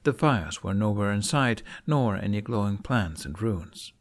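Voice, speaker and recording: A man narrating a fantasy story in an expressive, theatrical audiobook style. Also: fairly low pitch, a little slow. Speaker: fan fiction narrator.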